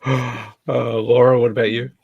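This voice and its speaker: A man's voice: medium pitch, little pitch movement, measured pace, creaky, husky, no room sound, muffled recording. A man hosting a casual group discussion, posing questions to the others. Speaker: host.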